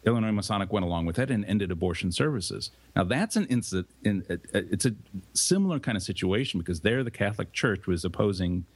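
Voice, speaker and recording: Deep, husky male co-host. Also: expressive, no echo. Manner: measured, explanatory. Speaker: podcast co-host. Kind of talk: casual podcast discussion.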